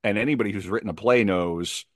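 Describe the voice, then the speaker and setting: A man with a very low voice talks as a guest in a casual interview. The sound is dry, with little room. He explains his craft emphatically, repeating phrases to drive a point home. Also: fast, dry recording. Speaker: podcast guest, playwright. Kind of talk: animated casual interview talk about craft.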